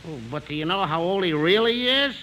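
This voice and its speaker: High, swoopy, slangy hard-luck pal. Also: male voice, lively intonation, fairly quick, bright, forceful tone, faint music behind. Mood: inquisitive. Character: slangy, inquisitive, hapless out-of-work pool player. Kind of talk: 1950s radio comedy acting. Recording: noisy 1950s radio recording.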